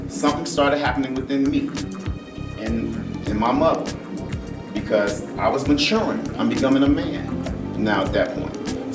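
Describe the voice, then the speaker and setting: A man speaking earnestly and emphatically in a panel discussion, repeating phrases as he gives personal testimony. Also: medium pitch. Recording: echoey, distant mic, loud music.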